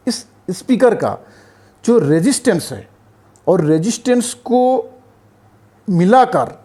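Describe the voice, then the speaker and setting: A man's voice: high voice, mellow. A man presenting an explanatory tutorial in a didactic, emphatic way, repeating points and often checking that listeners follow. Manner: repetitive, checks in with frequent tag phrases.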